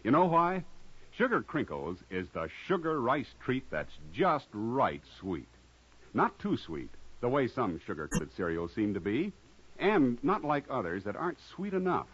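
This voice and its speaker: A man's voice: mid-range voice, very animated, a little slow, a bit bright, breathy edge. A man announcing in vintage radio commercial style, upbeat and salesy. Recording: muffled old radio recording.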